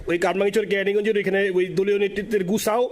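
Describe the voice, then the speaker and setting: Man from bangladesh, phone call, high-pitched voice, eager and deferential. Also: flat delivery, fast. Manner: deferential, eager, keeps saying yes sister. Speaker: party supporter calling from abroad. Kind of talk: phone conversation.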